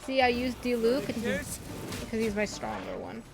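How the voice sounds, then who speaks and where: A woman's voice: a little high, steady tone, normal speed. A woman giving casual commentary as she streams her gameplay. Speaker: game streamer. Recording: game music behind her voice.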